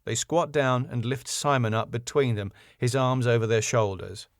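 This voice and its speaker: A man's voice: mid-range voice, fairly even intonation, moderate pace, no echo, studio quality. A man reading stage directions from a sitcom script as scripted narration.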